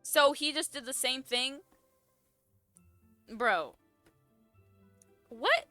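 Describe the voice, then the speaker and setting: A woman's voice: high-pitched, lively intonation, extremely slow, bright, forceful tone, no echo. A woman gamer giving casual, slangy live reactions, blunt and often incredulous. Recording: game music behind commentary.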